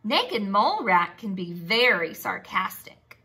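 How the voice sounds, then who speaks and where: A woman teacher giving an expressive read-aloud lesson for young children, using simple words and prompting with questions. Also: mid-range voice, measured pace, noisy background.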